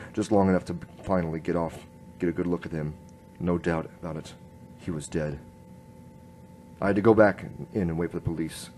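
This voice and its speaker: An actor playing an earnest, halting noir police inspector who narrates his case in a radio drama. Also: low voice, darkish tone, a little hiss or hum, natural voice. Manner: hard-boiled first-person storytelling, halting.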